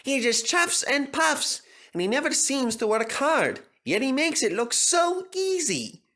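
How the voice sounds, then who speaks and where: A man's voice: very high voice, big pitch swings, measured pace, pushed and bright, voice pushed up, exaggerated voice. A man playing a proud, pompous, easily offended steam engine in a storybook reading. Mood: baffled, grudging. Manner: pompous, snorting. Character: proud, huffy, boastful male steam engine, Sir Handel.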